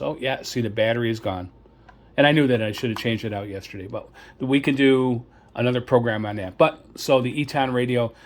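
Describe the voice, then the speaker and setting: A man giving a casual product demonstration as a reviewer, in a hesitant, rambling way, often repeating himself. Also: mid-range voice. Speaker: radio reviewer presenting gear.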